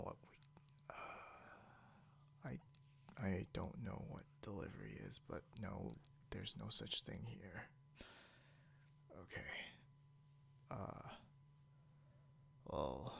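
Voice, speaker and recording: A man playing a possessive, grudgingly caring non-human captor in an intimate ASMR roleplay, gravelly and often slipping into a whisper, muttering haltingly and condescendingly, with noise behind him. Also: fairly low pitch, fairly bright tone, full character voice. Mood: hesitant, defensive. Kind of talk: ASMR roleplay, intimate second-person acting. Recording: noticeable background hiss or hum.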